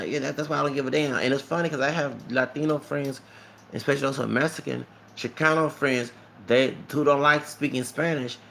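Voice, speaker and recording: Gravelly-voiced man rambling on a vlog. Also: a little high, sharp tone. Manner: rambling, repeats words, frequent "okay?" tag, switches into Spanish. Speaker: vlogger. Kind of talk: casual storytelling monologue.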